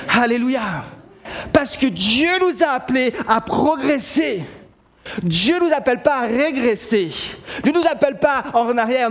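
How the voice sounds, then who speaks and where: Belgian French man, middle-aged, high voice; impassioned church preacher who stumbles and restarts, then lands lines hard. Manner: impassioned preaching, rising to emphatic declarations with frequent restarts. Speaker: pastor. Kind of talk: sermon. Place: church sanctuary.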